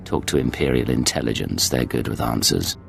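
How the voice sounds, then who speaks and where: A cool, controlled secret agent from a sci-fi game. His voice sits very low with a gravelly creak, and he delivers his lines with dry, sardonic wit. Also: fairly quick, fairly bright tone, faint music behind, heavily acted. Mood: guarded. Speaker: voice actor. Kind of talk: dramatic sci-fi game dialogue.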